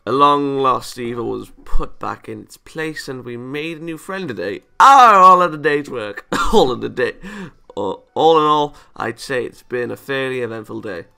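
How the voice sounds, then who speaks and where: Bright, creaky-voiced man reading a game aloud. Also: mid-range voice, animated, normal speed. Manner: stumbles over lines and reacts playfully. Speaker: video game let's player. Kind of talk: reading a visual novel aloud with casual asides.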